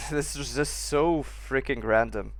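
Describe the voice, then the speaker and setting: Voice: mid-range voice, takes it slowly, slight background noise. A streamer giving casual playthrough commentary in amused, muttered asides.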